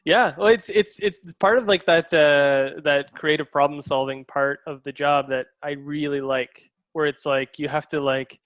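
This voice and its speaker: A man's voice: a little high, fairly even intonation, normal speed, hardly any pauses, fairly bright tone, no room sound. A man, the director, walking through how shots were made, explaining choices with enthusiasm and many fillers. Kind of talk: behind-the-scenes commentary.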